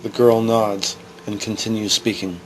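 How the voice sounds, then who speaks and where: A man reading scripted dramatic narration for an audio drama, deliberate and grave. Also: lowish voice, level tone, a little hiss or hum.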